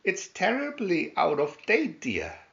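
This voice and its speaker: A kindly mother bird from a fairy tale, acted by a male narrator. She sounds eager and chirpy in a raised pitch. The recording is somewhat dull. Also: huge pitch swings, measured pace, gravelly, fairly bright tone, heavily acted. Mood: helpful, eager.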